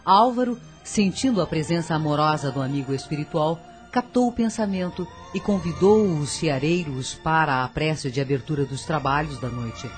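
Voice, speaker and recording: A woman's voice: sits low, expressive, normal speed, few pauses, faint music behind. A woman narrating a scripted radio drama in a solemn, formal storytelling manner.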